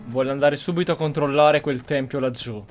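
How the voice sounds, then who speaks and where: A man's voice: a little high, steady tone, fast. An Italian man giving casual roleplay commentary over a video game he is playing. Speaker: gameplay streamer. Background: game music.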